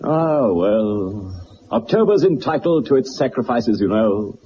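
An urbane, wry English detective in a vintage radio drama. His pitch swings expressively with arch, courteous theatricality. The old 1960s recording is muffled, with clear background noise. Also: very animated, unhurried. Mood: mild, wry.

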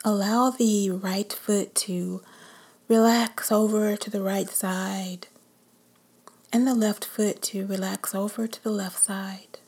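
A woman whispering softly as she leads a guided meditation. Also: medium pitch, steady tone, slightly rough. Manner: whispered, slow and soothing.

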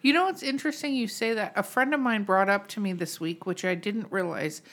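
A woman's voice: mid-range voice, fairly quick, fairly bright tone. A woman hosting a podcast in casual chat, blunt, teasing and profane. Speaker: podcast host.

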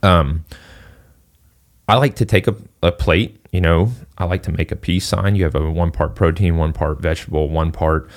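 A man with a very deep voice, from Singapore, talking as a fitness coach on a podcast. His speech wanders loosely, stacked with little fillers as he works through each point. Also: fairly even intonation, normal speed. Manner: loose and rambling, full of "you know" and "like". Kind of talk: casual podcast conversation.

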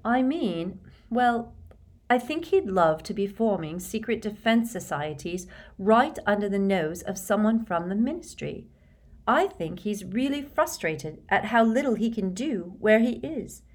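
A woman's voice: medium pitch, lively intonation, measured pace, darkish tone, pitched above the performer's own voice. A woman voicing an anxious, thoughtful teenage schoolgirl who chooses words carefully and hesitantly in a storybook reading. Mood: careful, earnest.

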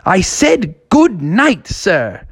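A man voicing a bossy, sleepy young girl, a big sister who scolds in a high, exasperated voice. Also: wildly swooping pitch, extremely slow, slight background noise, full character voice. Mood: annoyed.

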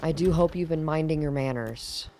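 A woman playing a sharp, guarded older doctor in a radio drama, speaking in a dry, formal, clipped way. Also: deep voice, normal speed, a little hiss or hum, close to an everyday voice. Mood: withering. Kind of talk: audio drama acting.

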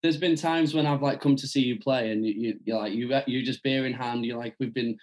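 Podcast host guy, mid pitch, rambly questions. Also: fairly quick, darkish tone. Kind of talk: casual interview chat.

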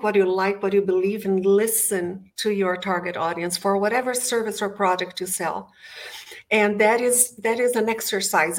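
A middle-aged woman from Brazil with a Brazilian Portuguese accent, a guest in an interview telling her career story with enthusiasm from her home office. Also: medium pitch. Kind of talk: interview conversation.

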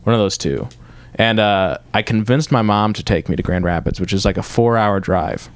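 A man's voice: on the low side, moderate pace, dry recording. A young man from Michigan, a guest musician, telling stories in a casual interview and rambling through restarts and fillers. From Michigan, north of Detroit.

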